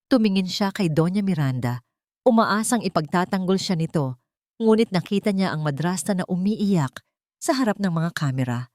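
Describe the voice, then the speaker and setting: A Filipino woman narrating a scripted love story in a measured, dramatic storytelling manner. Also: lowish voice, a little mellow, dry recording, clean studio sound.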